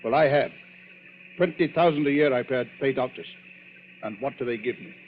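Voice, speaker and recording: A man's voice: medium pitch, moderate pace, close to an everyday voice. An actor playing a curt, sardonic, grumbling male tycoon in 1940s radio drama style. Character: dry, grumbling rich male tycoon, used to command. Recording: muffled, faintly noisy old radio recording.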